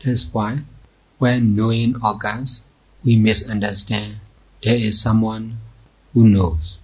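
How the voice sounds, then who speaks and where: Male meditation teacher, low husky voice, measured phrasing, long pauses, dull muffled recording. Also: steady tone, a little mellow. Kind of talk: slow guided meditation instruction.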